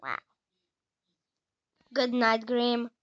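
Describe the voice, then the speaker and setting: A young woman in casual, halting commentary while playing a horror game, talking to herself. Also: on the high side, completely flat delivery, a bit bright, muffled recording. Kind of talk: casual let's-play commentary.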